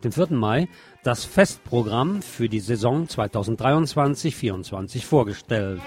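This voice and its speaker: A man reading scripted radio news and commentary in a wry, editorializing way. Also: medium pitch. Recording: music bed under the voice.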